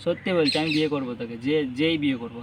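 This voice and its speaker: A young man talks very fast in a fairly high voice. He sounds teasing and insistent, as in a casual call with a friend. There is some noise behind him, with birds squawking. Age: young adult. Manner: teasing, coaxing, insistent questioning. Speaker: vlogger making a prank phone call. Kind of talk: casual chat on a phone call. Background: parrot squawks and ambient noise.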